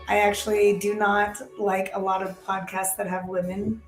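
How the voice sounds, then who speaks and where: A woman's voice: mid-range voice, level tone, moderate pace, echoey room, faint music behind, poor audio. A woman co-hosting a podcast in casual chat, blunt and crude, laughing easily. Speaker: podcast co-host.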